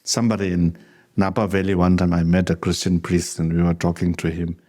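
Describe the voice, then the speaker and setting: Low, mellow-voiced man teaching with an accent. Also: flat delivery. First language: Tibetan. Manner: unhurried, conversational, chuckles while telling anecdotes. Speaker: Buddhist teacher. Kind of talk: dharma talk with question and answer.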